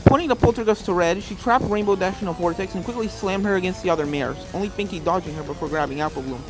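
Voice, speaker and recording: A woman's voice: on the low side, quick pace, low-quality recording. A woman reading a scripted fan fiction story as narrator, with spooky video game music behind her.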